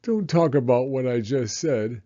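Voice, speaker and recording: A man's voice: mid-range voice, warm tone, dull audio, natural voice. A man voicing a hurt, glum young boy hero from a storybook in a plaintive way. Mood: sad. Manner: plaintive, drawn-out.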